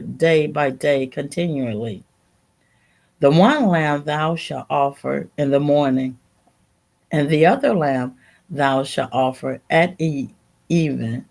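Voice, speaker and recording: Female Bible reader, unhurried and halting, with lively rises and falls in pitch and a creaky edge. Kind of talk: reading scripture aloud.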